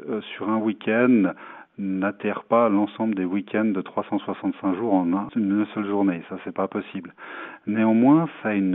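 A man giving formal spokesman answers in an interview, measured and hesitant. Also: on the low side. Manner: measured, halting delivery with many hesitations. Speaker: tourism foundation president, interviewee. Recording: phone-like, narrow-band radio sound.

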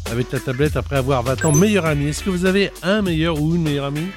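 A man's voice: a little high, fast, over music. A man hosting a radio interview, chatty and teasing with his guest. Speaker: radio host. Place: radio studio.

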